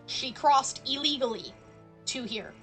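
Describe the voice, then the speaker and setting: A woman live-streaming a game with a fairly high voice. She comments casually and conversationally, thinking aloud as she goes, with some music playing behind her. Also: unhurried, a bit bright.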